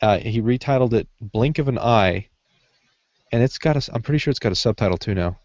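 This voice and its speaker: A man chatting casually on a podcast panel, halting often and restarting as he searches for words. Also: on the low side, animated, darkish tone, no room sound, dull audio. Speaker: podcast panelist discussing writing craft. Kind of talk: casual unscripted chat.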